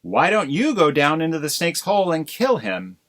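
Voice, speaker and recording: A fretful mother crow, put on by a man reading a storybook aloud, her voice pushed up high and loud. She sounds shrill and aggrieved, with a bright edge and lively swings in pitch. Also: normal speed. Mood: accusing.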